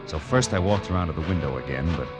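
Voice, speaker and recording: A man's voice: very deep voice, expressive, fast talker, over music. An actor playing a hardboiled, wry male private detective who narrates an old-time radio drama in terse, slangy phrases. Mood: narrating, intent. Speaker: lead and narrator. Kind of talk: 1940s-style radio detective narration and dialogue.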